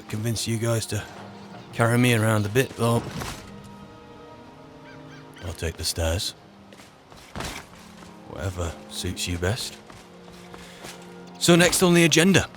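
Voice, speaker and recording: A man roleplaying a musing, wry adventurer in a fantasy game, speaking in a halting, dry, reflective way. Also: mid-range voice, music in the background, natural voice. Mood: musing, planning. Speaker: player narrating a roleplay. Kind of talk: in-character game roleplay monologue.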